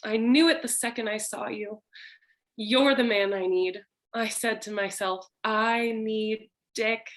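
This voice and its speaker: Woman acting a slow-talking noir femme fatale. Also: fairly high pitch, steady tone, a bit bright. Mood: seductive.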